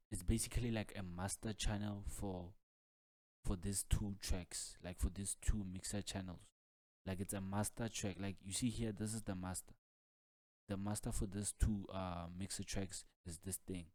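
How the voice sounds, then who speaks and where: A man's voice: low-pitched, fairly even intonation, normal speed, a little mellow, no echo. A man giving an informal music production tutorial, explaining casually and loosely, repeating himself and peppering his talk with "like" and "man". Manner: casual, repetitive filler-heavy explaining with frequent "like" and "man". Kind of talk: informal software tutorial walkthrough.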